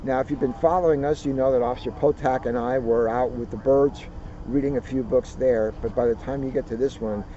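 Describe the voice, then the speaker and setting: A man reading a children's history book aloud outdoors, with deliberate, dramatic phrasing and casual asides. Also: mid-range voice, fairly even intonation, warm tone. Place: outdoors at a turkey farm. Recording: muffled outdoor recording, faint vehicle noise.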